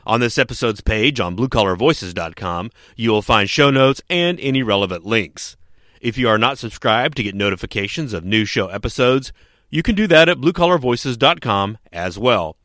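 A man's voice: medium pitch, lively intonation, moderate pace, a bit bright, dry recording, studio quality. A man hosting a podcast interview, folksy and fond of telling jokes. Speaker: podcast host.

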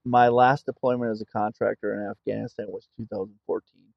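A man's voice: fairly low pitch, level tone, fairly quick, creaky, no room sound, lo-fi sound. A middle-aged man, an army veteran guest on a podcast, talking in a plainspoken way and telling stories. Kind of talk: conversational interview.

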